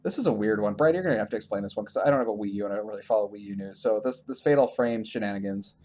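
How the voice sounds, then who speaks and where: A man's voice: lowish voice, very fast, a little mellow. A man hosting a video game podcast, chatting casually about gaming news in a rambling, stammering way and often repeating himself.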